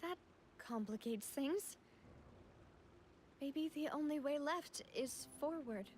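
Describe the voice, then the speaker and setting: A woman's voice: sits high, slow, a little mellow, a little hiss or hum. A woman playing a composed, earnest young woman in a video game, resolute but vulnerable. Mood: grave, resigned. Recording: game music behind the voice.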